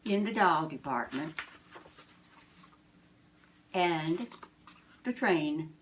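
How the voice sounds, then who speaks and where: An older woman, a grandmother, reading a children's storybook aloud in a deliberate, expressive way. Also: low voice, a little hiss or hum.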